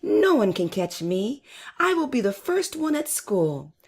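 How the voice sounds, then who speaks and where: A woman's voice: medium pitch, very animated, a little slow, darkish tone, slightly rough, pitched above the performer's own voice, heavily acted. A woman voicing a boastful, eager young male hare for a children's storybook. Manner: bragging, exclamatory.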